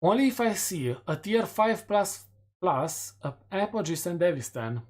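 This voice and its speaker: A man's voice: high-pitched, big pitch swings, a little slow, darkish tone. A man with a Romanian accent, a streamer casually going over community poll results with self-mocking jokes. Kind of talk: casual chat reading community polls.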